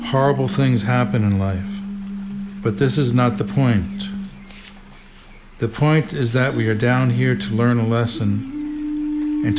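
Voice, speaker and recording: A man reading spiritual teachings aloud in a measured, deliberate, instructive way. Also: medium pitch. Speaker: reader of spiritual commentary. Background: prominent music.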